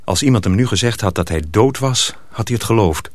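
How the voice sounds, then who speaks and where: A man narrating a radio play in a measured, literary storytelling manner, as a sober teller of a dark folk tale. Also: on the low side, animated, no echo. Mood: measured, grave.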